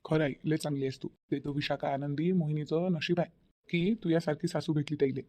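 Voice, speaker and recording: A woman's voice: deep voice, steady tone, brisk, warm tone. A woman voicing a mild, agreeable village man in a Marathi family cartoon, with a Varhadi accent from Vidarbha. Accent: Varhadi Marathi of Vidarbha, India. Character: mild, agreeable village men, father and grown sons. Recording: slightly dull, lo-fi sound.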